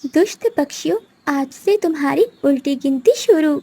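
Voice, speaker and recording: A woman's voice: sits high, moderate pace, dark tone. A woman voicing a scheming, vengeful female bird with an accusing, dramatic manner in a moral-story cartoon. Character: vengeful, scheming female bird plotting against wicked crows.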